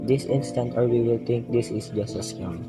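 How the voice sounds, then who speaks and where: A man's voice: mid-range voice, moderate pace, natural voice. A young man in a student radio drama, playing a protective, suspicious neighbor. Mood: protective, demanding. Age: young adult. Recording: loud guitar music behind.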